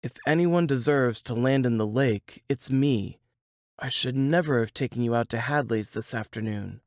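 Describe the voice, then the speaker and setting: A woman reading a storybook, voicing a gruff, grim but protective young man with a terse, sighing delivery. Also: mid-range voice, normal speed, a little mellow, no echo, clean studio sound, voice pushed down, lightly acted. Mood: weary, regretful.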